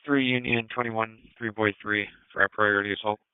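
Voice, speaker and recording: Fast, flat, low-voiced male radio dispatcher. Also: clear, resonant tone, no room sound. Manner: clipped, procedural, businesslike. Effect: police radio transmission. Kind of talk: radio dispatch of incident reports. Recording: tinny narrowband police scanner audio.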